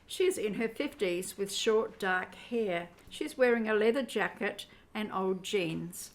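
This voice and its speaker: A woman's voice: mid-range voice, lively intonation, a little slow. A woman reading scripted narration for a science fiction audio drama.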